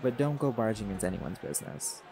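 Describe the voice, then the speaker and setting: A man's voice: fairly low pitch, expressive, fast talker, gravelly, noisy background. An actor voicing a laid-back, teasing male jaguar shifter in an audio roleplay, blunt and flirtatious, with music playing behind.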